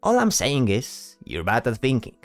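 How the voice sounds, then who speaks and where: A man's voice: mid-range voice, wildly swooping pitch, normal speed, dry recording, faint music behind. A man voicing a bold, teasing bard in a fantasy audio drama, talking theatrically and tagging questions onto his sentences. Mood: playful, lecturing. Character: flamboyant male bard, teasing, bold, self-assured.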